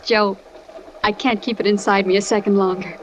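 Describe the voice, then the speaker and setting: A woman's voice: medium pitch, steady tone, moderate pace, a little hiss or hum, close to an everyday voice. An actress playing a bubbly young woman trapeze artist in a 1940s radio drama, breathless and giggly. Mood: excited. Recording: dull old radio broadcast.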